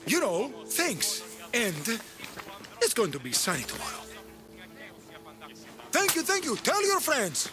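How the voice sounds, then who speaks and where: A man voicing a boastful, shifty fortune-teller in a video game, showy and excitable. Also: very high voice, slow, pauses often, over music, exaggerated voice. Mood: showy, evasive. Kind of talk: video game cutscene acting.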